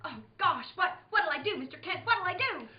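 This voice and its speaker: A woman's voice: very high-pitched, expressive, a little fast, a crowd in the background, voice pushed up. A woman voicing an upset, frightened young boy sidekick in an old-time radio play, stammering and pleading. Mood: distraught. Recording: noisy, roomy, dull live recording.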